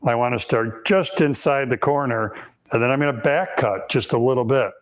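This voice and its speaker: A man with a raspy, hoarse voice, hosting a live woodworking Q&A and explaining techniques step by step in a shop, with frequent ums. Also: medium pitch, normal speed. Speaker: woodworking instructor and host.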